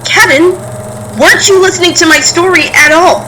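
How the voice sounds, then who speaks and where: A woman's voice: extremely high pitch, steady tone, fairly quick, bright, forceful tone, recorded from a distance, slight background noise, rough recording. A woman acting in an audio play as an earnest, stubborn young daughter, imploring on behalf of her monstrous father. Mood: pleading, insistent.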